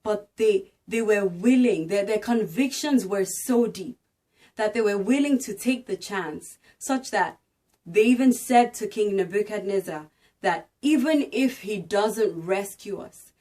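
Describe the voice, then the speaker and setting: Slow, earnest woman giving a devotional. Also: medium pitch, warm tone. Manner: earnest, emphatic, exhorting. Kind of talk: devotional talk and dramatic scripture narration.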